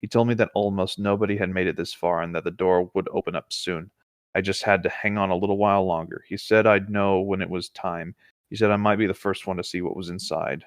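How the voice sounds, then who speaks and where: A man's voice: deep voice, fairly even intonation, a little fast, no room sound, clean studio sound. A man co-hosting a horror story show, reading aloud and chatting in an informal, conversational way. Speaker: co-host reading internet horror stories. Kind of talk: casual banter and story reading.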